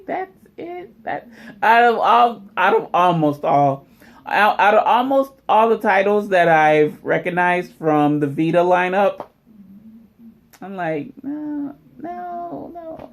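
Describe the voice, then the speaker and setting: A vlog host chatting casually and informally to viewers. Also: medium pitch. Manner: informal, addresses viewers as y'all. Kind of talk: casual unboxing vlog commentary.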